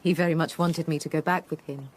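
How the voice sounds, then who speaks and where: An actress playing a grieving, gentle Tudor widow in a period radio drama, speaking with measured formality. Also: female voice, deep voice, steady tone, slight background noise, close to an everyday voice. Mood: tender, grieving.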